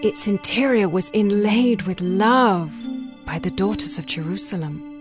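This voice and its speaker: An actress playing a young, ardent bride in a dramatized scripture reading, speaking in a measured, lyrical and earnest way. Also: female voice, mid-range voice, music in the background, close to an everyday voice. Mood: awed, proud. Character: young bride longing for her beloved, ardent and poetic.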